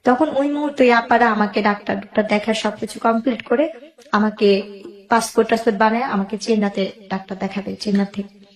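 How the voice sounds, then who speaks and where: A woman's voice: mid-range voice, fairly even intonation, fairly quick, roomy sound, rough recording. A woman with a Bangladeshi accent tells her own life story as a guest, emotionally, quoting both sides of conversations as she goes.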